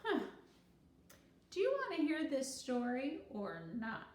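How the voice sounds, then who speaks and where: A woman's voice: sits high, huge pitch swings, slow pace, darkish tone, pitched above the performer's own voice, exaggerated voice. A woman voicing a bossy, self-assured second-grade girl who tells stories in a confident, theatrical way. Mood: exasperated. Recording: roomy echo with faint noise.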